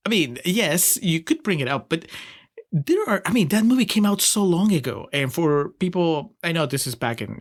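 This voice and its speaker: A man with a Peruvian accent co-hosting a casual film review podcast, wry and sarcastic, often breaking into laughter. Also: on the high side. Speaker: podcast co-host.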